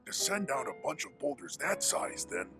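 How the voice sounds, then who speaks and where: An actor voicing a big, friendly rock-creature warrior in a video game, gravelly, jovial and plain-spoken. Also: male voice, a little high, very animated, moderate pace. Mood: puzzled, uneasy. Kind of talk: video game cutscene acting. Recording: music under the voice.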